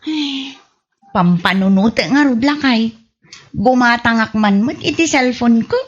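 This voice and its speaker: Woman acting excitable high-voiced Ilocano wife. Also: a little slow, dull audio. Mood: defensive. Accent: Filipino, Ilocano. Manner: exclaiming, animated. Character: excitable, bickering wife and mother, gossipy and scatterbrained. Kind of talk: Ilocano radio comedy drama acting.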